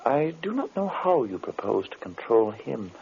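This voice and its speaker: An actor playing a defiant, dignified adversary of a villain in an old-time radio drama, formal, theatrical and resolute. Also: male voice, mid-range voice, very animated, a little slow, vocal fry, darkish tone, breathy edge, exaggerated voice. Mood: wary. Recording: tinny, band-limited old radio recording.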